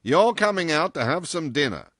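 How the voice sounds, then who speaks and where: Man acting a blunt, loud, commanding businessman. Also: fairly high pitch, very animated, moderate pace, dry recording, clean studio sound, voice pushed up. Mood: brusque. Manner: blunt, emphatic, clipped commands. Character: brusque, commanding businessman, blunt but solicitous.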